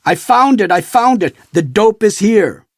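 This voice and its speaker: A man voicing a stern, threatening federal narcotics agent in a forceful, official manner for an audiobook. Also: high-pitched, big pitch swings, unhurried, dry recording, studio quality, pitched above the performer's own voice, heavily acted. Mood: excited.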